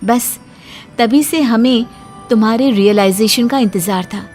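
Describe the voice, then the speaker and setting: A woman narrator voicing a bold young wife in a story, pointed, deliberate and confrontational. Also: a little high, darkish tone, natural voice. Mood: calm, firm. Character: bold young wife calmly taunting a guilty man. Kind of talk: dramatized story dialogue. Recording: music bed under the voice.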